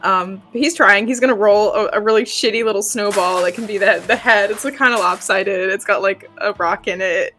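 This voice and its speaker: A woman playing a tabletop roleplaying game, improvising her character's actions in a playful, halting way. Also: medium pitch, pushed and bright, faint music behind.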